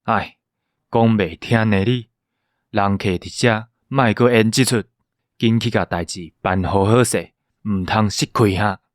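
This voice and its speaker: Man as low-voiced, genteel Taiwanese gang boss. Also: no room sound, studio quality, lightly acted. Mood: quietly commanding. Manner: measured, polite, unruffled. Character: genteel, calm, scar-faced gang boss with quiet authority. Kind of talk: radio drama acting.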